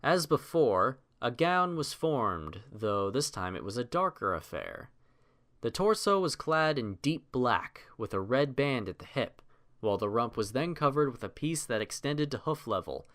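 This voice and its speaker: A man reading a scripted story as narrator, in an expressive, literary delivery. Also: mid-range voice.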